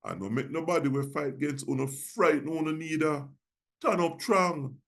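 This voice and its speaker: A Jamaican man reads scripture in Patois as a guest reverend. His voice sits slightly higher than most men's. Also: moderate pace, a bit bright.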